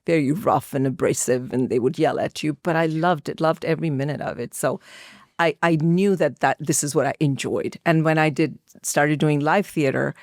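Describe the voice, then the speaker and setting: A woman's voice: low voice, moderate pace, no echo, clean studio sound. A middle-aged woman from India with an Indian accent, answering conversationally as an interview guest and telling personal stories. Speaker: podcast guest, actress and filmmaker.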